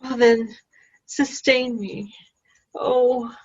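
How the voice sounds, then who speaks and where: An amateur actress reading a faint, remorseful queen in a Shakespeare play, with drawn-out, sighing delivery. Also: on the high side, steady tone, slightly rough, full character voice. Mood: faint, pleading. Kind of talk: amateur Shakespeare read-aloud. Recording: dull lo-fi video call, faint music.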